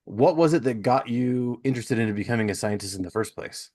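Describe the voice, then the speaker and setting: A man's voice: medium pitch, fairly quick, darkish tone, no room sound, studio quality. A man hosting a podcast, talking in a chatty, informal interview style. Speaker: podcast host. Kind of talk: casual conversational interview.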